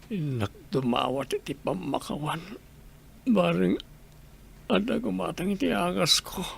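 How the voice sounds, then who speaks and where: A man's voice: high voice, creaky, a little hiss or hum, full character voice. A man playing a sick, remorseful father who pleads to come home, in a Filipino radio drama. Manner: slow, pleading delivery. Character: sick, weak, remorseful husband and father longing to return home.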